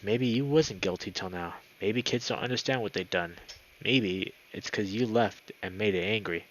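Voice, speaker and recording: A man's voice: lowish voice, normal speed, slight background noise, natural voice, poor audio. A man voicing a crazed weasel gunman from a visual novel, folksy, brooding and accusing. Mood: accusing, menacing. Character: crazed male weasel gunman, rural and menacing.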